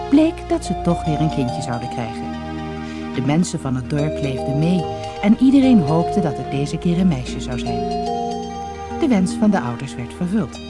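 A storyteller narrating a fairy-tale audiobook in an expressive way. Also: medium pitch. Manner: expressive storytelling, acting out dialogue.

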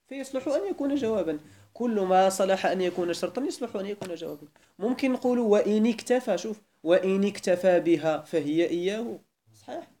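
A man's voice: sits high, dark tone. A man from Morocco teaching Arabic grammar to a class, mixing formal Arabic with Moroccan dialect and pressing students with repeated questions of why. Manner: probing, repeatedly asks why and corrects.

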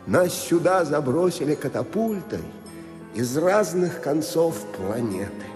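An actor playing a rueful leader of island poets in a radio comedy, plaintive and deliberate. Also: male voice, fairly high pitch, expressive, over music. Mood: explaining. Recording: long hall-like reverb.

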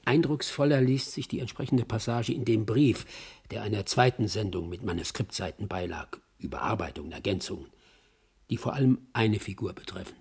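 Very husky, sober narrator. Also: male voice, fairly low pitch, a little fast, a little mellow. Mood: matter-of-fact. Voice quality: husky, raspy. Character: sober male narrator reporting on a film script. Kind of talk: scripted radio drama narration.

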